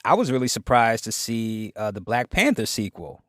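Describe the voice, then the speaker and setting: A man's voice: mid-range voice, big pitch swings, normal speed, no room sound, studio quality. A man hosting a radio show in a casual chat style, with informal, short, reactive phrasing. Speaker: radio host.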